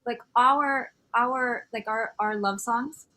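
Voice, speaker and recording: A woman's voice: on the high side, slow pace, pushed and bright, a little hiss or hum. A chatty woman from Miami talks on a video call, her speech loose and filler-heavy. She drifts in and out of Spanish words mid-sentence as she explains things. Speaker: author guest. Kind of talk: casual conversation on a video call.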